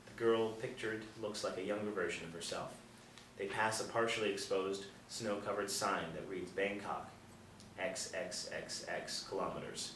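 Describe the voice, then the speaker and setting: Lowish-voiced man narrating in an echoey room. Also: steady tone, normal speed, a bit bright. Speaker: narrator reading stage directions. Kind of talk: scripted narration at a table reading. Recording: roomy, distant mic, faint noise.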